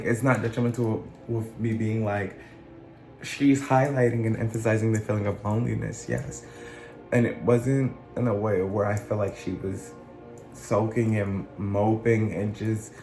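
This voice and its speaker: A man talking in a casual, earnest monologue, his voice still rough from being sick, often circling back and restarting his phrases. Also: fairly low pitch, mellow, roomy sound. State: recovering from illness, voice still rough. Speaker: channel host.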